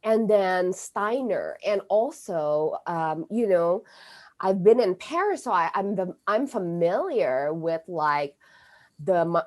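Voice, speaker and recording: A middle-aged woman whose first language is Indonesian, speaking in an unhurried, measured way with a mid-range voice. She talks as a guest in a conversational interview, pausing and restarting often and filling gaps with "you know" and "like.". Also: on the low side, a little mellow. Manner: halting, many fillers and restarts. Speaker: astrologer guest.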